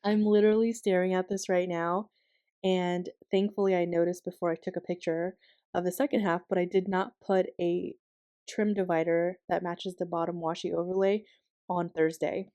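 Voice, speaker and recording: A young woman hosting her planner channel in a casual voiceover, slightly hoarse from losing her voice, chatty and rambling with many ums and likes, recorded in her bedroom. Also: on the low side, level tone, moderate pace, dark tone, dry recording, studio quality.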